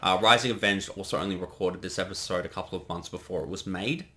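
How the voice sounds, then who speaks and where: Male director, lowish bright voice, casual commentary chat. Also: quick pace. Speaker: director giving creator commentary.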